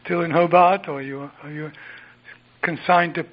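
Male radio co-host, higher voice, playful teasing questions, dull lo-fi sound. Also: fairly even intonation, moderate pace. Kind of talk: casual radio interview.

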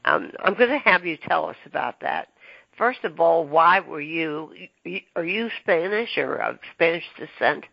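Gravelly, husky-voiced male host. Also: a little high, very animated, no echo. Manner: halting, full of ums and restarts. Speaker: podcast host. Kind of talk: casual interview chat. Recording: dull lo-fi dry recording.

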